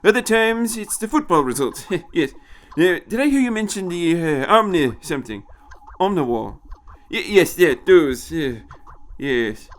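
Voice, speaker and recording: A man's voice: fairly high pitch, very animated, measured pace, few pauses, voice pushed up. A man voicing an irritable, imperious old man time-traveller in an audio story, hesitant and repeating himself. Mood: flustered.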